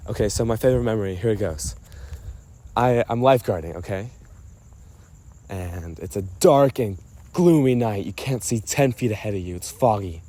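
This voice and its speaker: A man's voice: fairly low pitch, normal speed, mellow. A man speaking casually to a friend in a personal voice memo, playful and self-mocking, often interrupting himself and drifting off his thread. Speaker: storyteller recording a personal voice memo. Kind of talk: rambling casual monologue addressed to a friend.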